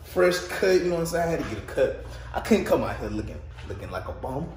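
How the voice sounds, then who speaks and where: A man's voice: big pitch swings, fast talker, darkish tone. A young man, chatting casually and slangily in an upbeat, emphatic way as he vlogs. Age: young adult. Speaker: vlogger. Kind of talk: casual vlog chat. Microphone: phone or action camera at arm's length. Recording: noisy handheld camera audio with ambient rumble.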